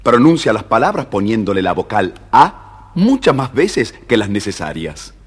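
A man with an Argentine accent and a husky voice, narrating a scripted story for children. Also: lowish voice, big pitch swings, moderate pace.

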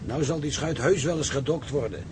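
Actor as earnest ship's engineer, noisy background. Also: male voice, fairly high pitch, a little fast, close to an everyday voice. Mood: argumentative. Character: reasonable, optimistic ship's engineer, male.

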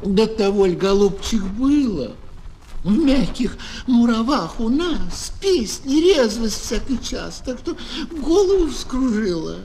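Man voicing a high, pleading flighty lady dragonfly. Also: very animated, slow pace, mellow, heavily acted. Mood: gushing. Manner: plaintive, coquettish, gushing. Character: flighty, pleading female dragonfly begging for shelter. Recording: noisy old children's record.

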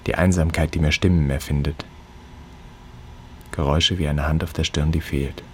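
An actor playing an embittered, haunted grown son in a literary radio drama, speaking in a solemn, poetic and declamatory way with a husky voice. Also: male voice, extremely low pitch, steady tone, normal speed, a little mellow, slight background noise. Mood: haunted, brooding. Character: embittered, haunted grown son accusing his absent father. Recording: long hall-like reverb.

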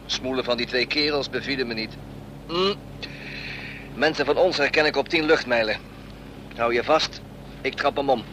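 An actor playing a gruff, unflappable veteran pilot in a Dutch radio play, laconic and deadpan with grunted hums. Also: male voice, mid-range voice, normal speed, pauses often, natural voice. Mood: laconic, dry. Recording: noisy radio play, engine ambience.

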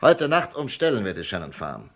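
A confident FBI captain takes command with brisk authority. His voice is gravelly and moves animatedly in pitch. The delivery is vintage radio crime drama. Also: very animated.

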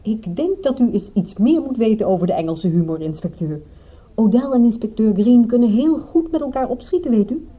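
A woman's voice: a little high, expressive, a little fast, slightly rough. An actress playing a coy, teasing woman in a radio drama, playful and evasive, on a dull lo-fi recording. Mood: amused, explaining.

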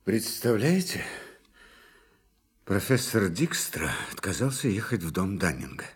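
Scientist guide, low pitch, polite, matter-of-fact, assured, radio drama. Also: male voice, sing-song, a little slow, breathy edge, exaggerated voice. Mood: put out. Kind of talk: Russian radio play acting.

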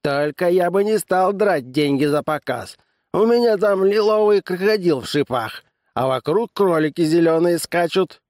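A man voicing a cranky, boastful old drunk with a hoarse, tipsy tenor, as in an audiobook story. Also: sits high, normal speed, a little mellow, no echo, clean studio sound, pitched above the performer's own voice, natural voice. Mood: drunken boasting. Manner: boastful, belligerent heckling.

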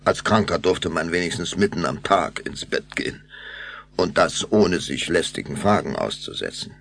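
A man's voice: low-pitched, expressive, normal speed, gravelly, fairly bright tone. A man narrating a children's audio drama in a scripted storytelling style. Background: music.

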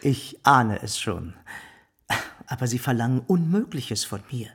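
An actor playing a smug, lecherous bourgeois in a radio drama, speaking with patronizing flattery and pomposity. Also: male voice, medium pitch, a little slow, husky, heavily acted. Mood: dismissive. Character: smug, lecherous, condescending wealthy bourgeois suitor. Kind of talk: literary radio drama acting.

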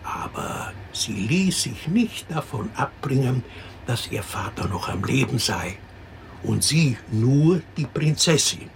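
An actor in a radio drama playing a storyteller who patiently tells a child a princess fairy tale, expressive and explanatory. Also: mid-range voice, slow, gravelly, heavily acted. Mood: patient storytelling. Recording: noticeable background noise.

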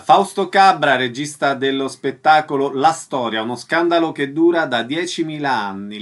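A man's voice: mid-range voice, fairly bright tone. A man presenting cultural listings and interviewing a guest as a radio host.

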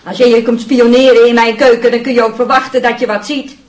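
Brash high-voiced housemaid played by an actress, roomy echo. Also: female voice, flat delivery, quick pace, close to an everyday voice. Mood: indignant. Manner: defiant, scornful, mocking. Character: brash, indignant young Dutch housemaid quitting in a temper. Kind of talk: radio drama acting.

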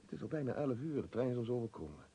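A man's voice: mid-range voice, very animated, quick pace, warm tone, breathy edge. An actor playing an impatient young man in a radio play, soothing his anxious fiancée while exasperated and dismissive. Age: young adult. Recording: old radio recording with faint noise.

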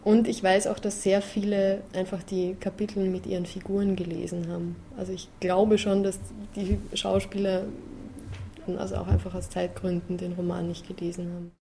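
A woman speaks in a mellow, mid-pitched voice, casually thinking back over her experiences. There is a faint noise behind her as she talks reflectively. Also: little pitch movement, normal speed, creaky, rough recording.

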